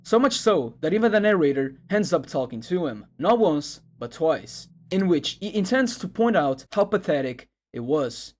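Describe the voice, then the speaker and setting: A man's voice: a little high, very animated, moderate pace, few pauses. A man reading scripted narration in the style of an internet video essay. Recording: music under the voice. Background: background music.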